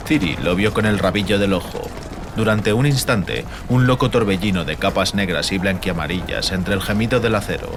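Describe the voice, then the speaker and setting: A man reads a fantasy audiobook as narrator, with a solemn, measured and theatrical delivery. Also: sits low, noisy background. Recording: music bed under the voice. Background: music.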